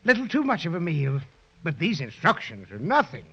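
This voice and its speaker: Husky, pompous crocodile. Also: male voice, a little high, huge pitch swings, moderate pace, a bit bright, heavily acted. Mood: self-satisfied. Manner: pompous, theatrical, clipped phrasing. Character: pompous, vain male crocodile who loves books and singing. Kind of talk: 1930s children's radio serial acting. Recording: muffled 1930s radio recording.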